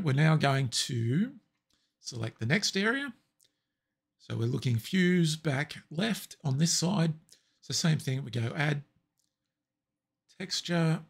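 Raspy man walking through a tutorial. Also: medium pitch. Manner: relaxed step-by-step explaining with fillers. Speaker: tutorial presenter. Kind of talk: screen-recorded software tutorial.

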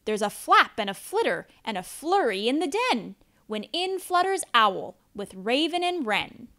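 Casting: female storybook narrator, high-pitched, lively intonation, dramatic pauses. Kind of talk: expressive children's read-aloud.

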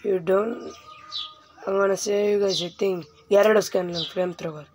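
A woman hosting a let's play with casual, informal gameplay commentary, halting and often repeating phrases. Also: mid-range voice, fairly even intonation, darkish tone, a little hiss or hum.

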